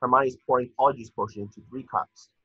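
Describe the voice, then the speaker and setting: A man's voice: medium pitch, steady tone, fast, husky. A man reading scripted stage directions as narrator of an amateur table read. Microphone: video call. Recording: muffled video-call audio.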